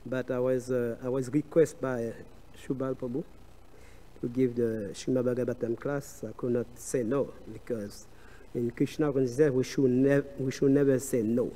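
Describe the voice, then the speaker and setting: A man with a strong French accent giving a devotional scripture class, speaking in a halting way with frequent hesitations and restarts. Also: mid-range voice, flat delivery, warm tone. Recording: long reverb of a large hall.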